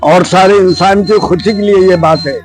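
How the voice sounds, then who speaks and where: Older male interviewee, high register, impassioned and preachy, light music underneath. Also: normal speed, a little mellow. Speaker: member of the public interviewed. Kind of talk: impassioned spontaneous interview answer.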